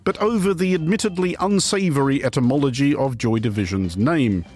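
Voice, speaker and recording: A man presenting a satirical news review as scripted narration, with a deadpan, wry and wordy delivery. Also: medium pitch, fairly quick. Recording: music bed under the voice. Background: music.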